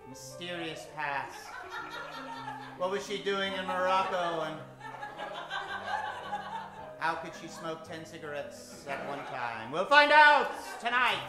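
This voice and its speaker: A man hosting a live old-time radio adventure show, announcing in a grandiose, hammy, tongue-in-cheek style with dramatic pauses. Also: a little high, lively intonation. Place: live theater stage, echoing room. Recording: very echoey theater, distant, music behind.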